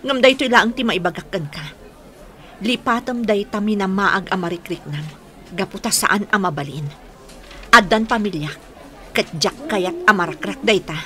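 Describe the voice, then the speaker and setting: A woman's voice: medium pitch, normal speed, sharp tone, lightly acted. A woman with an Ilocano accent playing a married young mother in a radio drama, dutiful and firm but easily flustered.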